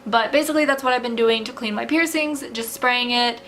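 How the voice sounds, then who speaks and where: A young woman chats to her camera at home, with a slightly high voice and a loose, rambling way of talking full of fillers. Her voice sounds a bit tired from talking. A faint hiss sits under the recording. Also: brisk, a bit bright. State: voice tiring from talking. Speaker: vlogger. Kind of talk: casual chat to camera.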